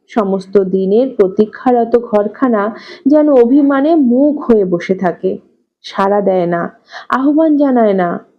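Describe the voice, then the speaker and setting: A woman reads a literary story aloud in a fairly high voice. Her pace is slow and measured, with expressive narration. The recording is slightly dull. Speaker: audiobook narrator.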